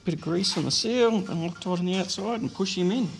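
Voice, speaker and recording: Man, mechanic, matter-of-fact, slightly high voice, noisy garage. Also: a little fast, vocal fry. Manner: easygoing, matter-of-fact, laughs easily. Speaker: mechanic helping on the restoration. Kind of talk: casual workshop chat. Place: home garage workshop. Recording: noisy workshop sound.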